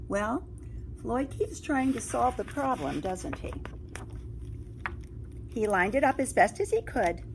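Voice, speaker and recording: A woman teacher reading a picture book aloud to children, expressive and exclaiming, often breaking off to draw her listeners in with questions. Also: mid-range voice, slight background noise, low-quality recording. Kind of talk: read-aloud storytelling with asides and questions to young listeners.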